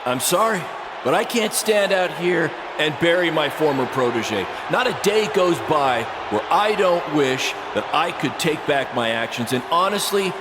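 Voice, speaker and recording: A man's voice: on the high side, expressive, measured pace. An actor playing a remorseful, broken veteran wrestler, a man speaking earnestly and emphatically as a proud former mentor in a wrestling game. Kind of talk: wrestling promo on microphone. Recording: loud arena crowd and noise.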